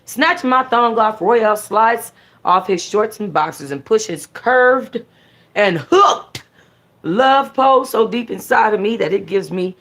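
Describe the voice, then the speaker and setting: A woman's voice: a little high, expressive, takes it slowly, a bit bright. A woman hosting a book club, reading a first-person story aloud in an informal way, sometimes stumbling and correcting herself. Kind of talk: animated first-person audiobook-style reading with casual asides.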